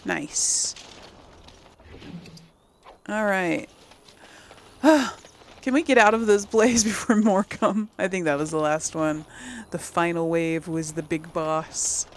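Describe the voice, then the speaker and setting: A woman's voice: on the low side, steady tone, slow pace, pauses often, slight background noise. A woman casually commentating on a game, rambling and thinking aloud in an easily amused, chatty way. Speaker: gameplay host.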